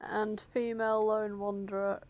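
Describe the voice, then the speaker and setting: A woman's voice: mid-range voice, flat delivery, measured pace, highs cut off. Blunt, teasing woman on a podcast. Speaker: podcast co-host. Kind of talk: casual banter.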